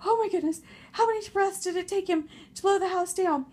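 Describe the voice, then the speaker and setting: A woman voicing a flustered, worried young pig in a storybook tale, fretting quickly and breathlessly. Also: extremely high pitch, pitched above the performer's own voice.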